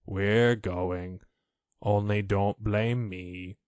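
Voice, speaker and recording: A low, slow, gloomy old male donkey acted by a man reading a storybook. He grumbles with deadpan resignation and halts over his words, close and dry. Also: no echo. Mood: gloomy, resigned.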